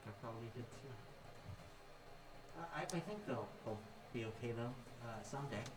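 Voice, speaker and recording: A man's voice: mid-range voice, normal speed, lightly acted. A young man acting a hesitant, mild, good-natured character in a student stage play. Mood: tentative. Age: young adult. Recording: echoey room, far mic, clear noise.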